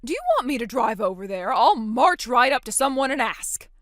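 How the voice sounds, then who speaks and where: A woman's voice: on the high side, animated, normal speed, fairly bright tone, dry recording, clean studio sound. A woman voicing a determined older sister who speaks firmly and confronts others in a controlled way. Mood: concerned.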